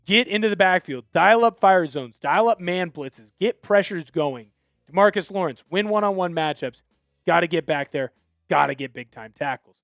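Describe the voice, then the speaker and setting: Man, young adult, live football talk host, opinionated, high voice. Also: fairly bright tone, no echo. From Washington State, USA. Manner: opinionated, fired-up fan talk, reads viewer texts aloud. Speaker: sports show host. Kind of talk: live sports talk stream.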